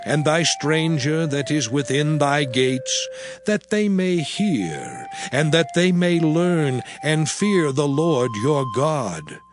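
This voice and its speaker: A man playing an earnest, commanding prophet and leader, exhorting in a slow, declamatory way in a dramatized scripture reading. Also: fairly high pitch. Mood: solemn instruction. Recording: music under the voice.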